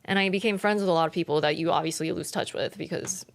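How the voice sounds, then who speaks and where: Woman, low voice, casual podcast chat, filler-heavy, slips into Korean. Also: fast, vocal fry. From Michigan, US. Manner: mixes Korean phrases into English. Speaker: podcast co-host.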